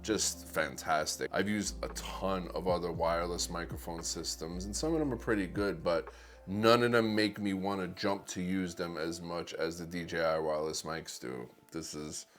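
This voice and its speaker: Male vlogger, low bassy voice, laid-back and upbeat, close wireless mic with faint music behind. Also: moderate pace. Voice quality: deep bassy voice. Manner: relaxed, enthusiastic product talk. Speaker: videographer vlogger. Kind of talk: casual gear rundown. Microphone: wireless clip-on mic.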